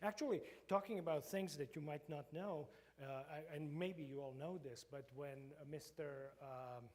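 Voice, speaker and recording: A man's voice: a little high, normal speed, darkish tone. A middle-aged man from Croatia with a Croatian accent, a professor giving an informal lecture to a church group, hesitant with many fillers and restarts. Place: church meeting room.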